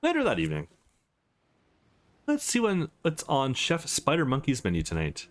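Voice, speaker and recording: A man's voice: mid-range voice, wildly swooping pitch, normal speed. A man reading a visual novel aloud for a let's play, adding casual asides and correcting his own stumbles.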